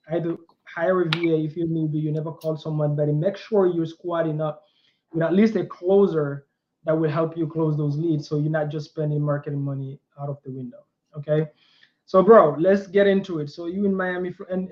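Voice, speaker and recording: A man hosts a casual chat and talks with a lively, coaching energy, often calling his guest "bro". His voice sits a little high. The recording sounds dull and lo-fi. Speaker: host. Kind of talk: casual interview and advice.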